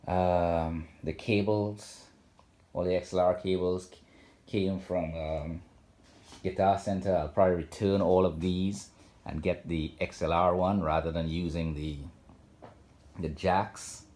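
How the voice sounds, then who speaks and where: Low-voiced man rambling through a casual walkthrough. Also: fairly even intonation, a little mellow. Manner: halting, rambling, self-correcting with frequent ums. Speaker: home theater vlogger. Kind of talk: casual unscripted walkthrough of his setup. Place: home theater room indoors.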